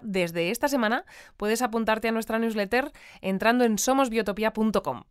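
An actress playing a formal, polished newsreader delivering a scripted bulletin in an audio drama. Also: female voice, mid-range voice, smooth, dry recording, clean studio sound, close to an everyday voice. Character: polished woman newsreader of a fictional community bulletin. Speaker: news presenter in an audio drama. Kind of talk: scripted news bulletin narration. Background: faint music.

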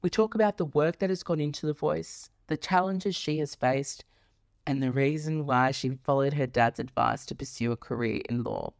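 A man's voice: fairly high pitch, normal speed, gravelly, no room sound, studio quality. An Australian man hosting a podcast interview, enthusiastic and welcoming toward his guest. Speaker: podcast host.

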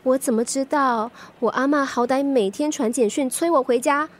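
High-voiced grumbling young Singaporean student. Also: female voice, moderate pace. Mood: weary, grumbling. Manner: complaining, expressive. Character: grumbling, stubborn, jumpy young female student worker. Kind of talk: radio drama acting, conversational.

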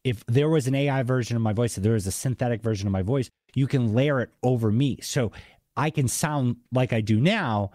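A man's voice: medium pitch, a little fast, darkish tone, no room sound. A man with a slight Boston accent hosting a podcast in an enthusiastic, casual, conversational way. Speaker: podcast host. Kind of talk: casual interview chat.

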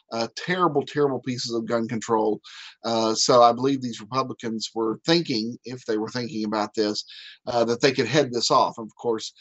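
A man, an attorney guest, explaining legislation on a radio talk show in a measured way with frequent fillers. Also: mid-range voice, fairly bright tone, no room sound, muffled recording. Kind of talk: legal commentary on radio.

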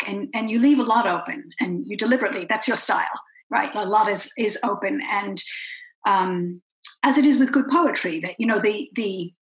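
A woman's voice: medium pitch, a bit bright, rough recording. A woman with an American accent interviewing an author, reflecting aloud with many restarts. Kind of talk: conversational interview.

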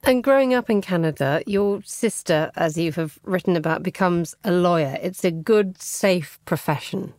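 A woman's voice: fairly low pitch, normal speed, few pauses, darkish tone, no room sound, studio quality. A woman interviewer asking prepared, leading questions.

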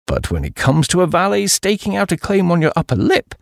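A man voicing a flighty, excitable young upper-class English gentleman in comic audiobook narration, with breezy, slangy, exclamatory delivery. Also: high-pitched, fairly quick, creaky, no room sound, clean studio sound, pitched above the performer's own voice, full character voice. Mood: chatty, earnest. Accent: British upper-class. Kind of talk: first-person comic audiobook narration in character.

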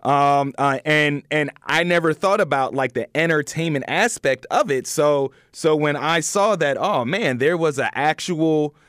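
A man hosting a conversational interview, speaking haltingly and often repeating words as he works toward his question. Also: on the high side, fairly bright tone, clean tone, dry recording, studio quality. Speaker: podcast interviewer, host.

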